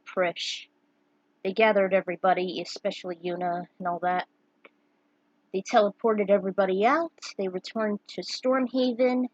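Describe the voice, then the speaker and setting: Casting: woman, mid-pitched, informal home storyteller who breaks off for offhand comments, recorded dry. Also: no echo, low-quality recording. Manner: informal asides, interrupting own reading to comment. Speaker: narrator reading own fan fiction. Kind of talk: casual recap and amateur story reading.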